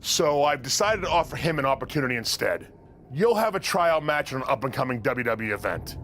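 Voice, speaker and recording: An actor playing a blunt, businesslike veteran wrestling scout in a video game, speaking in a measured, matter-of-fact way. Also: male voice, medium pitch, big pitch swings, a bit bright, a little hiss or hum. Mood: blunt, firm. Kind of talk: video game cutscene acting.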